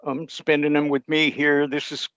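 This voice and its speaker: A man's voice: fairly high pitch, monotone, normal speed, a bit bright, clear, resonant tone, dry recording. A man presenting in a casual, informal, musing way over a video call. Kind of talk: casual chat. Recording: dull video call audio.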